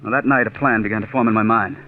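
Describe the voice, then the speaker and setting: An actor playing a bitter, murder-minded scriptwriter in a 1940s radio drama, speaking intensely. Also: male voice, fairly low pitch, fairly even intonation, fast, a little hiss or hum, lightly acted. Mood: brooding, vengeful. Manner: intense, brooding, stammers when agitated. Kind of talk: 1940s radio drama acting and first-person narration. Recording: tinny 1940s radio recording with music.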